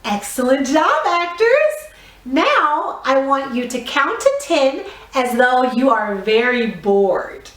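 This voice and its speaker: A woman teaching a theatre warm-up to young children, giving encouraging, clearly phrased step-by-step instructions. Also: high voice, sing-song, slow pace. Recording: roomy echo, distant microphone.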